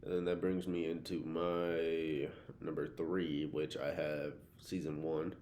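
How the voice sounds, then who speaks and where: A man's voice: rumbling low, flat delivery, takes it slowly, a little mellow, slight background noise. A young man in casual podcast conversation as a co-host, using slang and swearing freely. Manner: slangy, profane.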